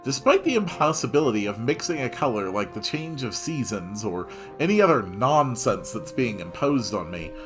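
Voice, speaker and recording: Man, mid-pitched, hugely varied intonation, expressive story narration, wry casual asides, faint music behind. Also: normal speed, few pauses. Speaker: host reading a visual novel aloud.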